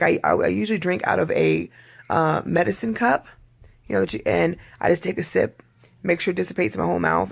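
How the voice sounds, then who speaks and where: A hoarse adult vlogger records a rambling, candid video diary. The voice is strongly gravelly and rough and sits at a middling pitch. The speaker keeps clearing their throat between thoughts.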